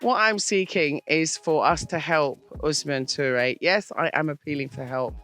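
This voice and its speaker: A woman's voice: sits low, a little slow, a bit bright, soft background music. Enthusiastic woman hosting an interview. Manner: enthusiastic, appealing for support. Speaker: host. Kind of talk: casual interview. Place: outdoors on a farm.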